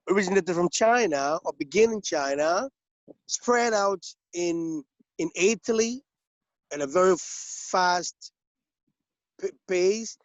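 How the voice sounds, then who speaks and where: Halting man on a lo-fi video call. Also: high voice, no room sound. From Liberia. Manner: halting, many restarts and repeated words. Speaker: webinar participant. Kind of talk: spontaneous webinar comment. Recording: dull, lo-fi video call audio.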